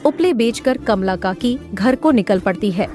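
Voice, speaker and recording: A woman narrating an animated moral story in a steady, measured way. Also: mid-range voice. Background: background music.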